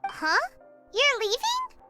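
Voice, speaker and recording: Squeaky, very high childlike fairy companion. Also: female voice, wildly swooping pitch, takes it slowly, heavily acted. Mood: curious, perky. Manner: squeaky, refers to herself in third person. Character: small chirpy childlike fairy companion, female, eager and curious. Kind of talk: video game voice acting. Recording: background music behind voice.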